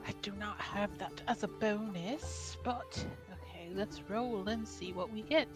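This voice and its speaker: A woman's voice: medium pitch, a little slow, music in the background. A woman playing in an online tabletop roleplaying game, laughing often and thinking aloud with hesitant questions. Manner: giggly, hesitant, talks through ideas. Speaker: player.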